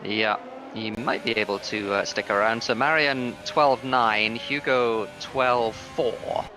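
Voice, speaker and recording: A man's voice: mid-range voice, over music. Analytical male sim racing commentator. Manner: measured, analytical, reads lap times. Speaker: lead commentator. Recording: clear background noise.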